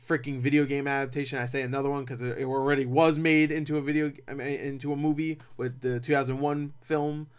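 A young man talking casually as a film reviewer, rambling and animated, with opinionated asides. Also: mid-range voice, fast talker, few pauses, clean tone. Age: young adult. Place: small cluttered room at home.

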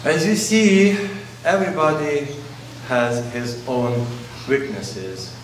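A man in his forties, a priest whose first language is Russian, preaching a sermon in slow, pausing phrases, recorded indoors in a large church by a camera at a distance. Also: medium pitch, a little hiss or hum. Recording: very echoey church, distant mic.

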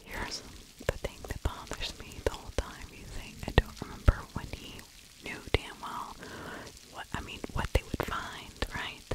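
A woman's voice: unhurried, poor audio. Whispering middle-aged woman, true crime ASMR. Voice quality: breathy, unvoiced whisper. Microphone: close microphone with furry windscreen.

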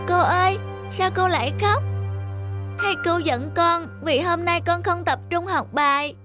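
A woman's voice: very high voice, measured pace. A woman voicing a shy, wistful little schoolgirl in a cartoon, polite and hesitant. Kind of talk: cartoon voice acting. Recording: loud music behind the voice.

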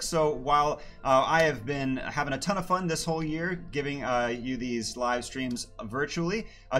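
Slightly hoarse man live-streaming. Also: medium pitch, a bit bright. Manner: friendly, filler-heavy with many "uh"s. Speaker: planetarium educator hosting a livestream. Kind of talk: casual live-stream talk.

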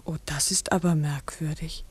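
An actress playing an earnest village schoolteacher in a 1960s radio drama, asking careful, polite, probing questions. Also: female voice, low-pitched, steady tone, normal speed, a little mellow, slightly rough, dry recording. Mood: puzzled, curious.

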